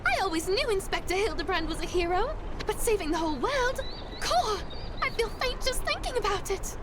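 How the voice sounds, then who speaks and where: Adoring ditzy girl sidekick, extremely high squeaky voice, lively swoops, melodramatic, game cutscene. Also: moderate pace, very noisy. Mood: gushing. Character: excitable, adoring, scatterbrained young female sidekick. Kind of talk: fan-dub game cutscene acting.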